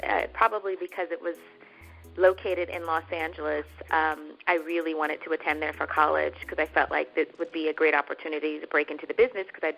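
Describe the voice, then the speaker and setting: A woman from Northern California chatting as an actress guest in an interview, animated and laughing as she talks, bringing up her faith. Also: lowish voice, vocal fry, rough recording. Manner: animated, laughing, with religious references. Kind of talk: casual interview chat.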